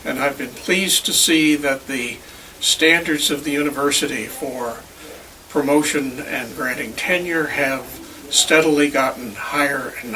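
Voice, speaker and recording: A man in his seventies or older, a professor giving measured, formally worded interview answers in complete sentences. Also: mid-range voice, bright, forceful tone. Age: 70s or older. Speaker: university professor. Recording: distant mic, faint background noise.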